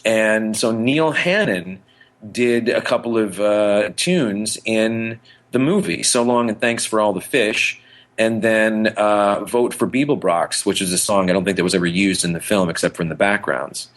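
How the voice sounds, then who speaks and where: A man's voice: fairly low pitch, normal speed, a bit bright. A man co-hosting a podcast in casual chat, rambling enthusiastically and often correcting himself mid-thought. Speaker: podcast co-host.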